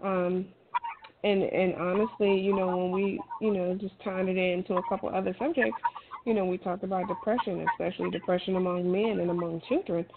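A woman's voice: fairly low pitch, steady tone, a little fast. A middle-aged woman talking casually, giving emphatic, encouraging advice in a colloquial way. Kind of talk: casual conversational talk, advice. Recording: thin phone-like sound with clear noise.

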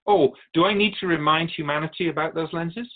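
A man playing a calm otherworldly spirit guide in a radio drama, explaining things in a measured, quizzical way as an interview guest. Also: fairly high pitch, phone-quality sound, close to an everyday voice. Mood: explanatory, playful. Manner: measured, explanatory, quizzical about human speech.

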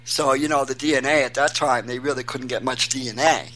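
A man from Maine, guest on an interview show, talking haltingly with many restarts and fillers. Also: mid-range voice, steady tone, bright, forceful tone, low-quality recording. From Maine, USA. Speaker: guest, volunteer searching for missing children. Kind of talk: casual interview.

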